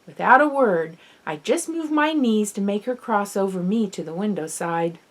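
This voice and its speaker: A woman reads a first-person children's story as its author. Her voice sits at a medium pitch. The delivery is that of an audiobook narrator, expressive and dramatic in the telling. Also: moderate pace. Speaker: author reading own novel.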